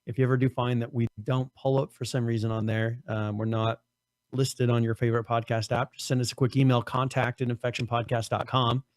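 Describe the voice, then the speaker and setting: Hoarse man co-hosting a podcast. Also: little pitch movement, a little fast, dry recording. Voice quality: voice cracks on higher notes. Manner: rambling, many restarts and fillers. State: losing his voice, throat strained. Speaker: podcast co-host. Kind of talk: casual podcast chat.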